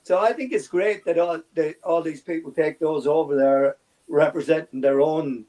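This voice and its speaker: Male sports panellist, mellow and a little high in pitch, casual chat. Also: normal speed, poor audio.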